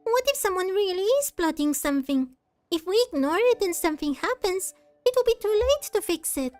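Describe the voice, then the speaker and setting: Woman doing a small female fairy sidekick, high-pitched, excitable, nagging, curious, video game character. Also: a little fast, dry recording, soft background music. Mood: alarmed, urgent.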